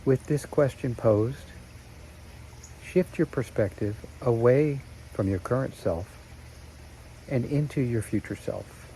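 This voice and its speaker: A man narrating a guided meditation in a slow, soothing way with long pauses. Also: mid-range voice, dark tone, slight background noise, rough recording.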